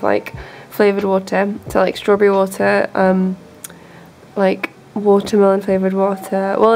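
A woman talking informally in a rambling, hesitant way as she hosts a painting video, slightly croaky from a cold. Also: medium pitch, darkish tone, slight background noise, poor audio. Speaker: host of a painting channel. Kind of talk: casual chat and art tutorial. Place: indoors at home, at a desk.

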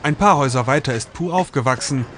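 A man narrating a travel food documentary in a measured, scripted voice-over. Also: medium pitch, background noise.

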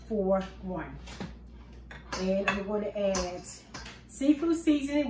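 An older woman with a slightly high voice talks through her home recipe step by step, homey and unhurried. She stands back from a camera in her kitchen, so the sound is roomy and distant, with faint clatter of dishes and pans. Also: background noise. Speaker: home cooking host. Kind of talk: casual cooking demonstration. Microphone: far camera on the counter.

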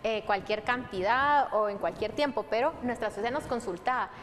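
A woman's voice: on the high side, normal speed. A young woman hosting conversationally and asking questions. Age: young adult. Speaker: host. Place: studio set. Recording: hall reverb, background noise.

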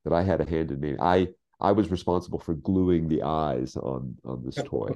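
An American man in his sixties telling stories in casual conversation, halting and emphatic, often repeating phrases for weight. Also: a bass voice, gravelly, mellow, muffled recording. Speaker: guest, scriptwriter. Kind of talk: casual anecdotal storytelling in conversation. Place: home office, video call.

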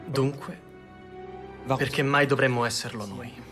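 A man's voice: mid-range voice, close to an everyday voice. A man playing a cautious young king. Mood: calculating. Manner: cool, deliberate questioning. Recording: music under the voice.

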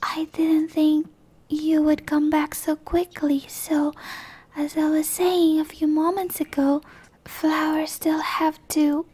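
A woman playing a shy, gentle dryad monster in an ASMR roleplay, in a soft breathy whisper with hesitant, stammering, apologetic delivery. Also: sits high, level tone, slow. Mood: flustered, bashful.

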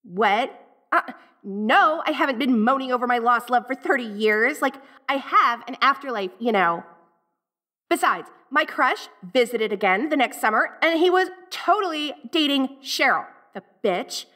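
Casting: a woman as a tsundere teenage ghost girl for audio roleplay, high-pitched, American Valley girl accent, sassy and dramatic with eighties slang. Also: animated, a little slow, sharp tone, full character voice. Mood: smug, catty. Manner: sassy, dramatic, flustered, peppered with 80s slang. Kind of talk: audio roleplay, one-sided dialogue to the listener.

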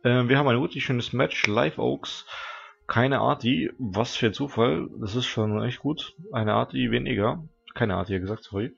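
Teen gamer with a stuffy nose. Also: male voice, medium pitch, moderate pace, creaky, muffled recording. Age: teens. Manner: chatty, relaxed, talks to viewers. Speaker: gaming channel host. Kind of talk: casual gameplay commentary.

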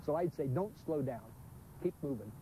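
Cheerful 80s man, animated voice, noisy outdoor field tape. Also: a little high, normal speed. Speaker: cyclist. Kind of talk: casual interview remarks. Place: outdoors on the road.

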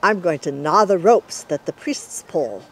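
A woman voicing a small, persistent female mouse in a children's storybook, slow and determined. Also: lowish voice, animated, breathy edge, heavily acted, low-quality recording.

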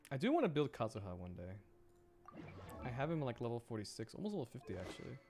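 A man's voice: medium pitch, big pitch swings, a little fast, a little mellow, a little hiss or hum. A man chatting casually on a game livestream, muttering and thinking aloud to himself as he plays. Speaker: VTuber game streamer. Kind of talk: casual livestream gameplay chat.

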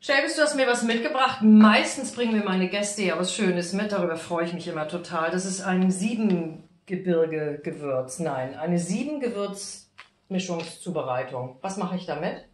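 A woman's voice: on the low side, moderate pace. A middle-aged woman hosting a cooking show, chatting casually with a guest in an exuberant, effusive way. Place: small home kitchen, indoors. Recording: roomy kitchen echo, light background noise.

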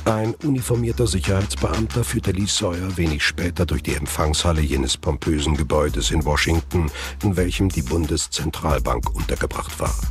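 A man narrating a scripted German thriller audio drama as the storyteller. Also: sits low.

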